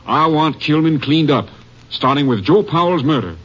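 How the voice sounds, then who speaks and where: An actor playing a brisk, authoritative Texas Ranger captain in a 1950s radio drama, businesslike and commanding. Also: male voice, fairly high pitch, lively intonation, a bit bright, breathy edge. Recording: dull old radio recording, faint noise.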